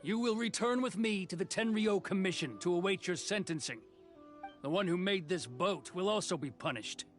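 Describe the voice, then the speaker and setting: A man's voice: high-pitched, normal speed, soft background music, lightly acted. A man voicing a stern, proud swordsman officer in a video game, clipped and haughty in delivery. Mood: cold, haughty. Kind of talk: English video game voice acting.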